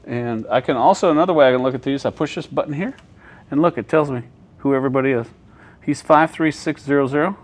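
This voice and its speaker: A man's voice: medium pitch, fast talker. A man working as an air traffic controller, giving a casual, plain-spoken walkthrough and often checking that the listener follows. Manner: plain-spoken, explaining step by step with checking questions. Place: inside an airport control tower.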